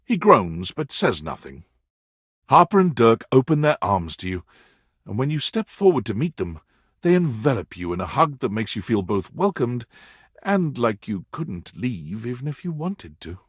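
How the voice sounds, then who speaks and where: A man performing as the storyteller narrator of a dating game, reading scripted second-person narration in an expressive, measured way. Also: mid-range voice, husky, no room sound, studio quality. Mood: wry storytelling.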